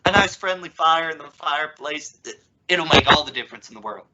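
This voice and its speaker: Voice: medium pitch, lively intonation, brisk, lo-fi sound, lightly acted. A woman playing a flustered, impatient middle-aged father in an old-time radio family comedy, stammering in exasperation. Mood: forced calm. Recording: noisy recording with clicks.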